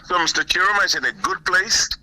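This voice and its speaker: A man giving earnest, deliberate political commentary on a call, repeating phrases for emphasis. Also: high voice, sharp tone, low-quality recording. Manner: halting, deliberate, emphatic repetition. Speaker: guest caller, political commentator. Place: remote call.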